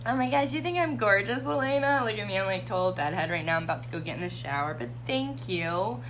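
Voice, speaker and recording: A slightly hoarse woman hosting a live tarot reading and chatting casually with her viewers. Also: mid-range voice, lively intonation, fast, a little hiss or hum. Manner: chatty, warm, greets viewers by name.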